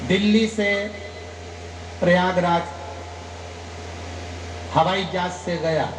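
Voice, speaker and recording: A man's voice: steady tone, slow, reverberant, background noise. A man giving a devotional sermon, telling stories and acting out their dialogue with emphatic rises. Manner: storytelling, acting out dialogue, rising emphatic pitch. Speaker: kathavachak preacher. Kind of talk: devotional katha sermon with anecdotes.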